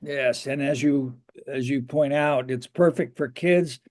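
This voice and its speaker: A man's voice: mid-range voice, unhurried, a little mellow. A man hosting an interview show, talking conversationally with a wry, jokey manner.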